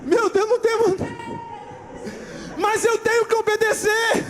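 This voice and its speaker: A man from Rio de Janeiro with a Carioca accent, preaching a lively sermon to his congregation, acting out everyday scenes and asking for amens. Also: very high voice, measured pace, long reverb tail, background noise. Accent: Carioca Brazilian Portuguese. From Rio de Janeiro, Brazil. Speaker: pastor. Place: church hall.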